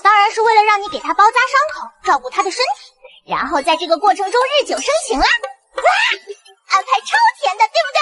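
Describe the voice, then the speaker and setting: A woman voicing a pushy, excitable matchmaking system spirit in an animated web drama, gushing and wheedling. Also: very high-pitched, fast talker, heavy background noise. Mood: coaxing.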